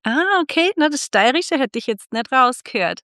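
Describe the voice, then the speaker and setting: A middle-aged woman from Austria hosting a casual interview, chatty and quick to laugh, often restarting her sentences. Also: high-pitched, expressive, a bit bright, no room sound, clean studio sound. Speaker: podcast host and club founder.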